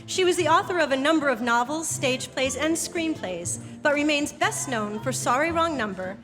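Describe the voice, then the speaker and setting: High-voiced woman narrating over music. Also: expressive, normal speed. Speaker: host, narrator. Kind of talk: scripted narration to a live audience. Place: theatre stage. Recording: reverberant theatre with jazz underscoring. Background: jazz music.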